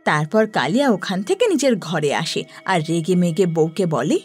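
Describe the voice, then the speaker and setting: A woman narrating a scripted horror story in an expressive storytelling manner. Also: fairly low pitch, normal speed, slightly rough.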